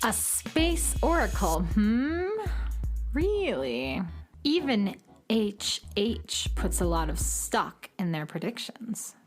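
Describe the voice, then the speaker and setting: Petulant, slow-talking tough girl. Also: mid-range voice, sing-song, soft background music. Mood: skeptical. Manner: sullen, curt, dismissive. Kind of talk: children's radio drama acting.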